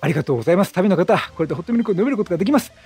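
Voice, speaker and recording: A man's voice: high voice, expressive, rapid-fire, vocal fry, warm tone, slightly rough, faint music behind, exaggerated voice. Man reading as an earnest, troubled traveling priest. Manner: polite, formal, solemn.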